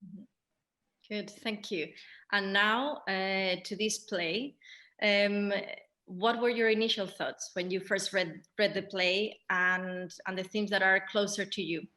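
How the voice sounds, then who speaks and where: A woman moderating a conversational post-show interview, phrasing her thoughts in a measured, halting way. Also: mid-range voice, fairly even intonation, sharp tone. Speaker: theatre director, Q&A moderator.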